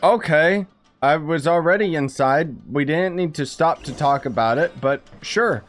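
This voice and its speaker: Guy streaming a game, sarcastic joking commentary, normal pitch, faint game music behind. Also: measured pace. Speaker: gaming streamer. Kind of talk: casual gameplay commentary.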